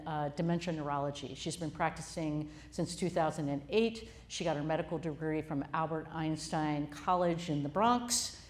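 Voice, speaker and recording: A woman with a somewhat low voice opens a health event in front of a live audience. She talks in a loose, conversational way, full of fillers. She introduces her guest in a friendly tone. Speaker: CEO hosting a health talk.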